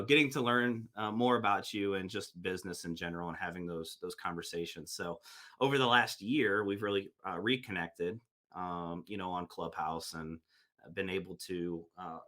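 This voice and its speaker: A man's voice: deep voice, fairly bright tone. A man hosting a podcast, chatting casually and rambling with many fillers and restarts. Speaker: podcast host. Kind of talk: casual interview chat.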